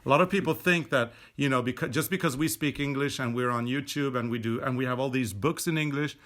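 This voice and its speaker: Middle-aged Swedish-born man, native Swedish speaker, mid-pitched, casual explainer with lots of fillers. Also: quick pace, fairly bright tone. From Sweden. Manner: chatty, explanatory, with frequent fillers and restarts. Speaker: podcast co-host. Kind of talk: casual chat while knitting. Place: indoors at a table.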